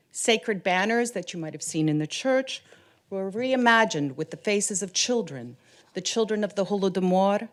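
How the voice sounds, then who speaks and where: A woman from Canada whose first language is Ukrainian, giving a formal conference presentation as an artist in a measured, solemn way that slows down on key words. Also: mid-range voice. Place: conference room.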